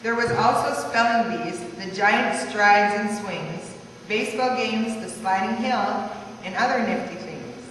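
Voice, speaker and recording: A woman's voice: mid-range voice, measured pace, fairly bright tone. A woman reading a rhyming family life story aloud, now and then stumbling over the words. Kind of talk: rhyming verse read aloud. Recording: echoey hall from far off, faint noise.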